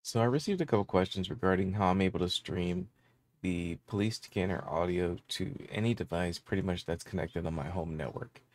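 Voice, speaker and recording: A man's voice: low voice, fairly quick, darkish tone. A man in his thirties giving a casual how-to explanation as host of a tech tutorial. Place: small indoor room with electronics gear.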